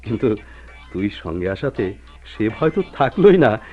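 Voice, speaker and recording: On a muffled old radio drama recording, a jovial married man who works in the forest. His mid-pitched voice swings in lively melody as he teases with hearty familiarity. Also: faint music behind. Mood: teasing, amused. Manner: jovial, teasing, brisk familiarity. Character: hearty, teasing married friend, a forest officer. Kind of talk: Bengali radio drama acting.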